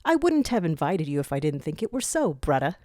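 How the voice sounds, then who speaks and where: A woman's voice: low voice, sing-song, a little fast, a little mellow, dry recording, clean studio sound. A woman voicing a smug, scheming male jackal commander with a self-assured, grandiose manner in an audio story. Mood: confident, commanding. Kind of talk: fan fiction audio story reading.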